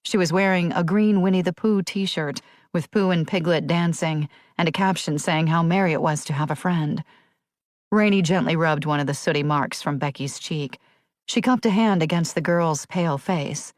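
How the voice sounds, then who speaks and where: A woman with a fairly low voice narrates a thriller audiobook. The delivery is scripted and dramatic, and the sound is dry, with very little room in it. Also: normal speed, dry recording.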